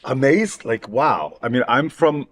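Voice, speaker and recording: A middle-aged man from Queens, co-hosting casually and excitably, repeating words for emphasis. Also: mid-range voice, a little hiss or hum. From Queens, New York. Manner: excitable, halting repetitions for emphasis. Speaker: talk show co-host. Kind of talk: casual chat.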